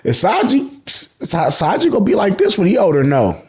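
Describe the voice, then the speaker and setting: American guy doing a jokey manga recap, high voice that jumps all over, lots of slang n cussing. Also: normal speed. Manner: slangy, profane, joking, animated. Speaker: host of an anime and manga review channel. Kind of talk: casual recap commentary with acted-out dialogue.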